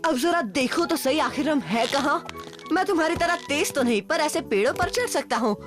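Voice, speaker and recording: A woman's voice: sits high, lively intonation, normal speed, over music. A woman voicing a brave, friendly young boy in a cartoon, eager and reassuring. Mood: confident, reassuring. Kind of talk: animated cartoon dubbing.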